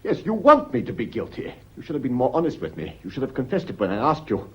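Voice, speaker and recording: Husky, agitated British schoolmaster husband. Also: male voice, mid-range voice, huge pitch swings, fast talker, fairly bright tone, heavily acted, poor audio. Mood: bitter, accusing. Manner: agitated, wounded, stammering under pressure. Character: weak, defensive, accusing schoolmaster husband, adulterous widower. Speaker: radio drama actor. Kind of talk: melodramatic radio play acting.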